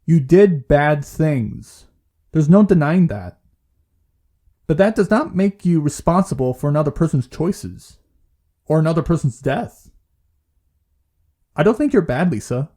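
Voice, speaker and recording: Man acting calm male therapist, lightly high pitch, mellow tone, measured, supportive, gently firm, audio drama. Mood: gently reassuring. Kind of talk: audio play dialogue.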